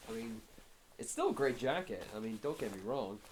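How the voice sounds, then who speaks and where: A hoarse man with a cold gives a casual progress update to camera, talking haltingly with many filler pauses. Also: mid-range voice, very animated, a little hiss or hum, rough recording. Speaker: fitness vlogger.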